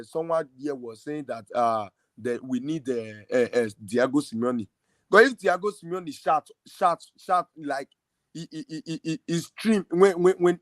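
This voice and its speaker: A man's voice: fairly high pitch, no echo. A man hosting a football fan podcast, arguing his point passionately in a casual heated debate. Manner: passionate, argumentative, emphatic.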